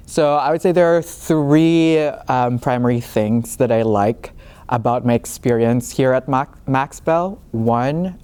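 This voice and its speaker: A man's voice: mid-range voice, mellow. A young man from the Philippines, a master's student, talking about his program in a casual, hesitant way with many fillers and restarts. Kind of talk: casual talk to camera.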